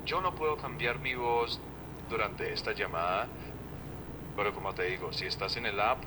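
Deep synthetic male assistant voice, neutral Latin accent. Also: normal speed, background noise. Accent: neutral Latin American Spanish leaning Colombian. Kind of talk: calm explanatory answers.

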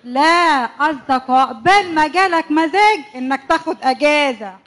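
A suspicious young wife with an Egyptian accent, played by a woman in a radio drama, pointed and quick to accuse. Her voice sits high. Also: animated. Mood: accusing. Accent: Egyptian Arabic. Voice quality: sharp, needling, quick to accuse. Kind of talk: church radio comedy-drama acting.

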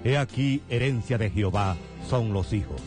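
Guy reading a soft little radio spot, lowish voice, music under it. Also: lively intonation, moderate pace.

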